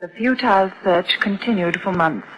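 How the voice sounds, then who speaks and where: A woman's voice: mid-range voice, normal speed, lo-fi sound, natural voice. An actress playing a haunted, frightened mother who tells her own ghostly tale as dramatised horror narration, on a noisy old radio-drama recording. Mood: haunted, grave. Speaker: narrator and lead character.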